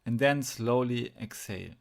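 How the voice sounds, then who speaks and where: A man narrating a guided meditation, speaking in measured phrases with pauses as he gives instructions. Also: mid-range voice, creaky.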